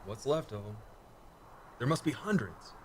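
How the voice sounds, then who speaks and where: A man's voice: medium pitch, lively intonation, moderate pace, slight background noise, natural voice. A man playing a weary, wary space commander in a sci-fi radio play, speaking tersely. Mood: grim.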